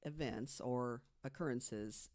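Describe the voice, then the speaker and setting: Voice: medium pitch, takes it slowly, a little mellow. An American podcast co-host telling a researched story in a conversational way, partly from prepared notes.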